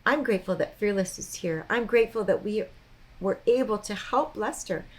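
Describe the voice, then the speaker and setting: A woman voicing an earnest, gentle male bird who tries hard to stay grateful in a children's storybook. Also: mid-range voice, lively intonation, normal speed, natural voice.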